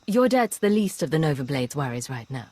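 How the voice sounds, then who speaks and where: A cool, commanding female agent from a video game. Her voice is low and husky, with terse, controlled delivery. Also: very animated, brisk, darkish tone, no echo. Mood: firm, defiant. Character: cool, tough female undercover agent leading a gang.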